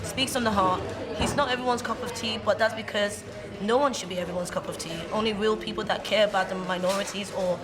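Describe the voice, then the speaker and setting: A woman's voice: mid-range voice, steady tone, fast. A woman of thirty-one, a charity chief executive, giving interview answers. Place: busy cafe. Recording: loud cafe crowd behind voice.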